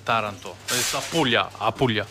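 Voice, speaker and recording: A man from southern Italy with an Italian accent, giving casual interview answers in halting, simple, repetitive phrases. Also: lowish voice, music in the background. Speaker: ice cream parlour worker being interviewed.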